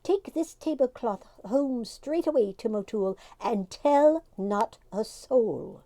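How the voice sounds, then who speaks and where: A woman voicing the sly little leader of the wee folk, high and lilting with an Irish accent. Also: lively intonation, takes it slowly, dark tone, no echo.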